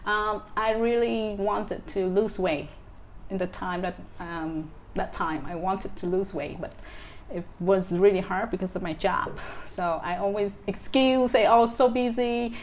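Vietnamese woman, 30s, guest, halting with restarts, mid pitch, slight room noise. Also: fairly even intonation. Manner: hesitant, many fillers and restarts. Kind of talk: casual interview.

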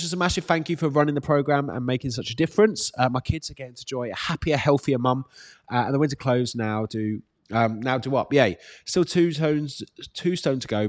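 A British man with a slightly congested voice, working as a fitness coach, answering questions casually and giving direct, encouraging advice with emphatic repetition. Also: mid-range voice. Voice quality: slightly congested, bunged-up nose. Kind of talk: casual live Q&A, reading out questions and advising.

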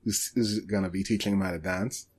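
Casual podcast co-host, male, lowish voice, hesitant and halting delivery that tends to trail off. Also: steady tone, darkish tone. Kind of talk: casual anime discussion.

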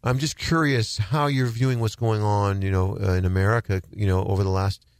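Earnest low-voiced male radio host. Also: steady tone, a little fast, no echo, studio quality. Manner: earnest, emphatic, repeats words for stress. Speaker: radio talk show host.

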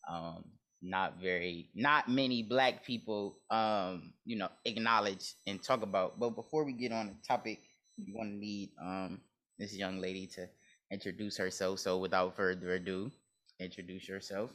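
A man's voice: low voice, normal speed, a bit bright. Easygoing man hosting a podcast. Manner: loose street slang, playful. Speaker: podcast host.

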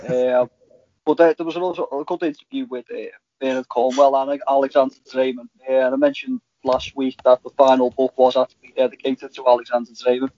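A man in casual, halting podcast chat about TV, rambling as a co-host. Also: medium pitch, level tone, dark tone. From Liverpool, England. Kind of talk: casual chat reviewing TV shows. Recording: slightly dull, lo-fi audio.

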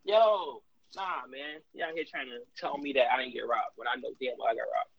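A man from Brooklyn co-hosting a podcast in casual chat, animated, exclaiming and laughing often. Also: medium pitch, a little fast, creaky, husky, no room sound. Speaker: podcast co-host. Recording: dull dry lo-fi sound.

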